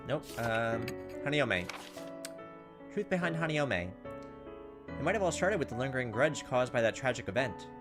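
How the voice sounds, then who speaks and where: Over clear game music, a man with a mid-pitched voice reads visual novel story text aloud. He is a let's play host who moves between narration and casual remarks. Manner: slips into acted character voices between narration. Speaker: gaming video host. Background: video game music.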